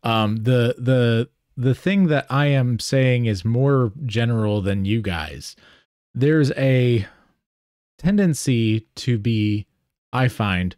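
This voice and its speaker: Man talking deliberately, dry close sound. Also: lowish voice, darkish tone, no room sound. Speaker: podcast panelist. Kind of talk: casual panel discussion.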